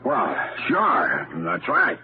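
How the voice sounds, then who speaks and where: Through heavy hiss and a thin vintage radio band comes an American police sergeant. He is a plain-spoken, middle-pitched sidekick, eager and a bit baffled. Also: wildly swooping pitch, extremely slow, muffled recording, heavily acted. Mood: puzzled, eager. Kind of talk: 1930s radio mystery acting. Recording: noisy, phone-thin 1930s radio recording.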